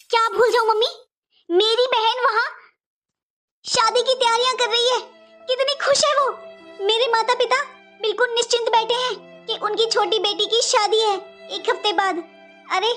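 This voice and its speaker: A woman's voice: very high voice, fairly bright tone. A woman voicing an indignant young daughter-in-law in a cartoon melodrama, accusing and dramatic, full of rhetorical questions. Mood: hurt, indignant. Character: indignant young daughter-in-law defending her jilted sister. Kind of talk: Hindi cartoon family melodrama voice acting.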